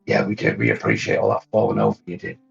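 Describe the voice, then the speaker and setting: Crude gravelly brute for a tabletop stream; very deep, dull lo-fi sound. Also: male voice, sing-song, fast, soft background music, full character voice. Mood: gruff. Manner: blunt, crude. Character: gruff blunt male brute, Kef. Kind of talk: tabletop roleplay in character.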